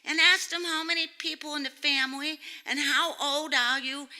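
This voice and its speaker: Shrill, pesky little girl. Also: fairly even intonation, moderate pace, sharp tone, a little hiss or hum. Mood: smug, playful. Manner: childish, insistent, repeats herself. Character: pesky, chatty little neighbour girl, cheeky and contrary. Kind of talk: old-time radio comedy acting.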